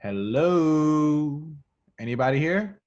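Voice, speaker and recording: A man's voice: fairly high pitch, lively intonation, slow, a little mellow, lo-fi sound. A man reading the part of a cocky, flirtatious agent in an amateur film table read, casual and swaggering. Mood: calling out. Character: cocky flirtatious man, undercover agent posing as police sergeant. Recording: home remote table-read recording.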